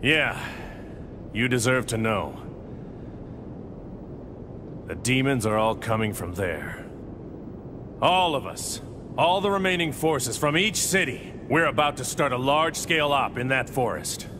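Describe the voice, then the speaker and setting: An actor playing an earnest, battle-weary squad leader in video game cutscenes, urgent and commanding. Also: male voice, mid-range voice, expressive, a little slow, noisy background, natural voice. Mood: grave, determined.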